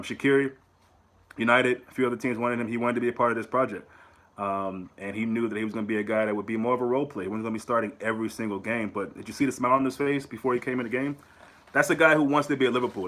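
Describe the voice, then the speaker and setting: Fast-talking American man on a rant. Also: medium pitch. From United States. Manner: animated, repeats words and restarts, rising on rhetorical questions. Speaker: fan channel host. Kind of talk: casual solo commentary to camera.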